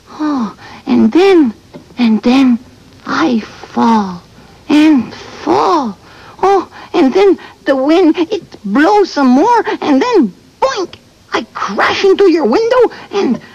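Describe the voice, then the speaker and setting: An emotional French-Canadian seabird, played by a woman, high and swooping all over. She speaks slowly and dramatically, mixing French and English in a Québécois accent. The sound is dull, like old TV audio. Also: a little mellow, heavily acted.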